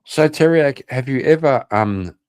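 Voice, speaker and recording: A man's voice: mid-range voice, lively intonation, a little slow, a little mellow, clear, resonant tone. A man hosting a casual livestream, swearing often and talking in a blunt, combative way. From Northern Ireland. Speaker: livestream host.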